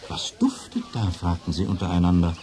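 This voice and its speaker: A man's voice: low voice, fairly quick, warm tone. A man narrating a children's radio play as a storyteller. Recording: faint noise of vintage record.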